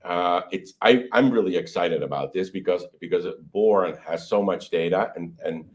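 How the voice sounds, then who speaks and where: A man's voice: low-pitched, moderate pace, lo-fi sound. A man giving conversational interview answers as a company executive, often breaking off and restarting, with an enthusiastic manner.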